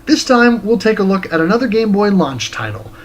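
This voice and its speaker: Guy with a slightly high voice reading out a scripted game review, some noise behind him. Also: big pitch swings, a little fast. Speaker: video game reviewer, show host.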